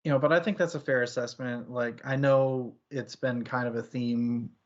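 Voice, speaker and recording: A man's voice: medium pitch, steady tone, normal speed, dull audio. A man hosting a casual book discussion, talking in a rambling, opinionated, conversational way. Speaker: book club podcast host.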